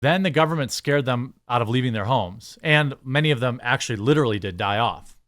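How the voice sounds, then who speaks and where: A man's voice: mid-range voice, animated, a little fast, a bit bright. An American man giving opinionated news commentary, reading articles aloud and reacting with blunt, sarcastic, exasperated asides. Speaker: commentary show host.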